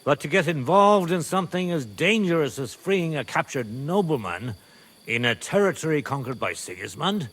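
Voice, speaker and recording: A man's voice: on the high side, very animated, normal speed, fairly bright tone. World-weary middle-aged medieval nobleman. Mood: skeptical. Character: wary, pragmatic medieval nobleman and father of grown daughter. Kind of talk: video game cutscene acting.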